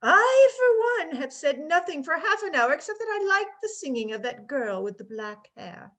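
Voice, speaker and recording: Bright, high-pitched exasperated French gentleman played by a woman. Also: sing-song, normal speed. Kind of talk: radio play reading. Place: remote reading over a video call.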